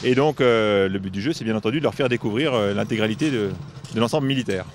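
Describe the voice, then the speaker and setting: A man's voice: lowish voice, fast talker, a little hiss or hum. A man from a heritage association, outdoors in a forest, explaining the site steadily with many filler hesitations. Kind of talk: explanatory interview answers.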